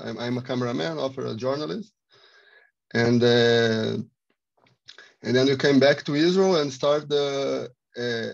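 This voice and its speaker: Gravelly-voiced Brazilian-born man, halting. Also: medium pitch, a little mellow, muffled recording. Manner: halting, many restarts, non-native phrasing. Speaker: filmmaker, cameraman. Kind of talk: panel Q&A answer. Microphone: video call.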